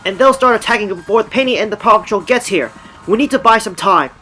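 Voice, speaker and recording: A resolute police commander played by an amateur actor in an audio drama, with music under the voice. The pitch is middling and the delivery blunt and decisive, that of a superior giving orders. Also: fast, pushed and bright, natural voice. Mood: urgent, firm.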